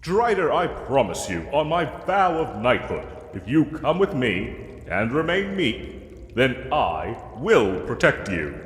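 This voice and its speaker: A man playing a pompous, gallant, condescending yet soft-hearted knight in a fantasy audio roleplay, with formal, archaic, theatrical diction. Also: medium pitch, big pitch swings, takes it slowly. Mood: firm, reassuring. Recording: echoey cave-like reverb, music underneath.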